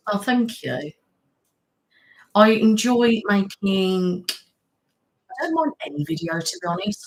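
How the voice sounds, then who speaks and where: A woman in her thirties hosts a relaxed live stream, talking in a loose, rambling way. She reads out viewers' comments and answers them as she goes. She sits close to the mic. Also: on the low side, low-quality recording. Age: 30s. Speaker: ASMR channel host. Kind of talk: casual live-stream chat.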